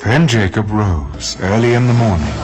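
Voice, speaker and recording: A man serves as narrator for dramatized scripture. His lowish voice moves expressively as he tells the story in a measured way, with faint music behind him. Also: expressive.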